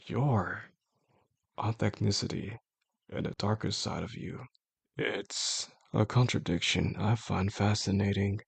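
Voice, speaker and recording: A man acting as a possessive, obsessive male boss in an intimate audio drama. His voice is low and heavily creaky, with a husky rasp. He speaks slowly and deliberately, coaxing with calm menace and formal phrasing. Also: dry recording, full character voice. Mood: brooding, fascinated. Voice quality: gravelly, husky. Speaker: audio roleplay voice actor. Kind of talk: intimate scripted roleplay monologue.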